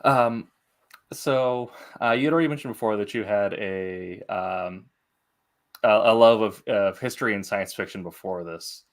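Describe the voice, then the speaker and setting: Lowish-voiced man, halting interview host. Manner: hesitant, halting phrasing. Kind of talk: casual interview chat.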